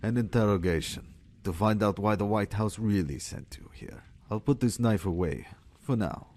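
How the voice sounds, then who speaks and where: A man playing a sadistic Russian ex-intelligence captain in an audio drama, taunting and menacing with theatrical, drawn-out lines. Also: on the low side, a little mellow, a little hiss or hum, lightly acted. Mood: mocking. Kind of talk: audio drama villain acting.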